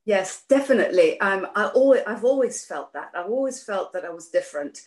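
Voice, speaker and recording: A woman's voice: medium pitch, expressive, brisk, a little mellow. A middle-aged woman from Iran, a guest in an interview, talking hesitantly with many fillers and restarts. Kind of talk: conversational interview. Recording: roomy echo.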